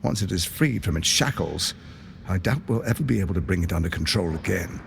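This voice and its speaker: A suave, scheming tyrant lord from a fantasy game. His low voice swings widely, with a creaky edge and grand, persuasive phrasing. Faint hiss and ambience sit underneath. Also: expressive, brisk. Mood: grave, imperious. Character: suave, calculating male tyrant lord courting an ally. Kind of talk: dramatic video game character acting.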